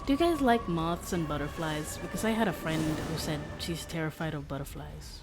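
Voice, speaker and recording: A woman's voice: low-pitched, normal speed. A woman chatting casually on a livestream as she plays a game, with a playful, giggly manner. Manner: giggly, playful, prone to breaking into laughter. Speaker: streamer playing a game and chatting with viewers. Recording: game music faintly behind the voice. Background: game music.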